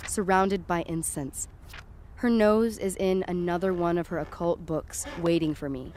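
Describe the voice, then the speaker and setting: A woman's voice: medium pitch, slight background noise, close to an everyday voice. A woman playing a frightened, sceptical, foul-mouthed young woman in a first-person audio drama. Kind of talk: first-person present-tense audio drama narration and dialogue.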